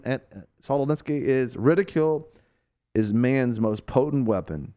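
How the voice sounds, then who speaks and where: A male radio preacher with a very lively, rising-and-falling voice. He drives his points with fervent emphasis, then drops into slow, hushed phrases. His pitch sits in the middle range for a man. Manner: fervent, emphatic, swings between loud and hushed. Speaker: radio show host and preacher.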